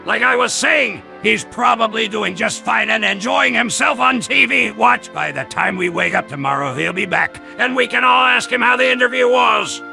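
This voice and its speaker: A man's voice: high-pitched, animated, normal speed, vocal fry, few pauses, pushed and bright, soft background music, full character voice. A synthetic man's voice playing a bossy, brash mercenary in a cartoon.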